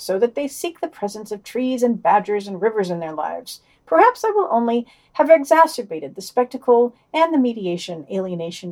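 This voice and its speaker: A woman's voice: a little high, mellow. A woman hosting a podcast, reading a scripted news digest and quoted passages aloud with wry asides. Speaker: podcast host.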